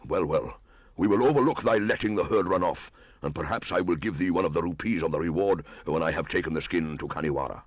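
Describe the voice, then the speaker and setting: A man's voice: sits low, quick pace, no room sound. A man playing an old village hunter, blustering yet cowardly, with pompous archaic speech, in a storybook reading. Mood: blustering, greedy.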